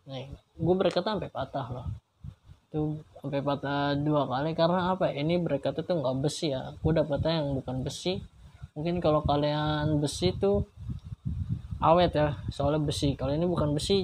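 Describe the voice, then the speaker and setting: Jakarta guy doing a casual gear review, slightly high voice, repeats himself, bit of noise on the audio. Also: a little mellow. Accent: Jakarta Indonesian. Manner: colloquial, repetitive, filler-heavy. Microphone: modified external mic on action camera. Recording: clearly noisy homemade recording with clicks.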